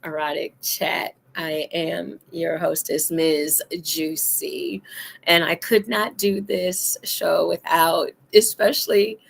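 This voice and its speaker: A woman hosting a casual adult chat show, hoarse and deep-voiced from being sick, laughing and coughing as she talks. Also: fairly even intonation, slow. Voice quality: deep, rough voice. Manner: laughs often, coughs between lines. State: hoarse from illness. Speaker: host.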